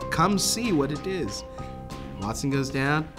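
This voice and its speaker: A man's voice: a little high, expressive, slow pace. A man telling comic stories in a slangy way, acting out the quotes as he goes. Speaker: comedian talking head. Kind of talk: comic storytelling to camera. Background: music.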